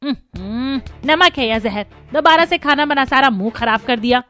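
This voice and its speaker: A woman voicing a greedy, scolding middle-aged mother-in-law in a cartoon, with a sharp-tongued, commanding manner. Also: high-pitched, lively intonation, moderate pace, soft background music.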